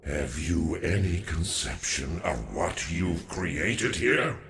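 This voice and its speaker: A man voicing a grave, indignant alien warrior elder in a game, gravelly, solemn and accusing. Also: deep voice, lively intonation, slow pace, exaggerated voice. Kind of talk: dramatic game dialogue. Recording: echoey, with music behind.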